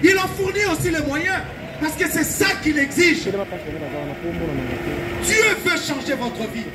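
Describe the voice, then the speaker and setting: A male evangelical preacher from Belgium delivers a fervent open-air sermon. His voice sits extremely high, and he exhorts urgently with emphatic repetition. The recording is noisy, with traffic clearly heard behind him. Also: animated, measured pace.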